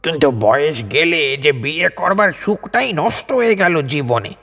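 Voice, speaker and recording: Casting: grumbling elderly aunt played by a man for audio story, husky, lingering delivery in long reverb. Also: a little high, expressive, measured pace, sharp tone, faint music behind, full character voice. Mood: aggrieved. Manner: complaining. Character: grumbling old aunt, resentful, fatalistic, matchmaking mother. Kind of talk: dramatised audio story acting.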